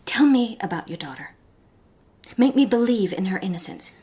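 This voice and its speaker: An actress playing a sharp-witted young society lady detective in a period radio drama, composed, formal and probing. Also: medium pitch, expressive, moderate pace, breathy edge. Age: young adult.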